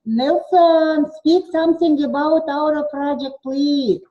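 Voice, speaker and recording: A woman whose first language is German, speaking English haltingly in a live online discussion as a participant and moderator. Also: sits high, fairly even intonation, lo-fi sound. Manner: halting, searching for words.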